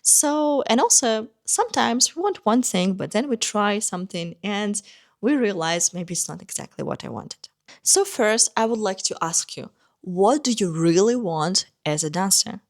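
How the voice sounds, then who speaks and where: A woman's voice: medium pitch, animated, moderate pace. A young woman, a dancer and teacher, giving an earnest, encouraging talk to the camera in short listed phrases. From Kazakhstan. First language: Russian.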